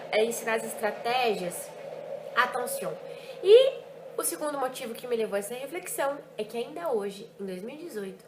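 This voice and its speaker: Young woman, French teacher vlogging, fairly high voice, expressive and emphatic, echoey room, faint hiss. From Brazil, Ribeirão Preto. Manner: lively, emphatic, drops French words into Portuguese. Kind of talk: personal reflection vlog.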